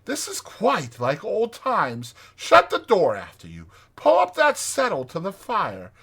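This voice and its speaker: A man plays a small, fretful mole from a children's storybook. The voice is high and very animated in pitch. It is eager and plaintive, quick to get emotional. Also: vocal fry, husky, exaggerated voice. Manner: excitable, plaintive.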